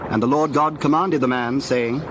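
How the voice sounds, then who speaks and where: A man's voice: mid-range voice, fairly even intonation. Solemn male scripture narrator over noisy ambience. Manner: measured, solemn storytelling.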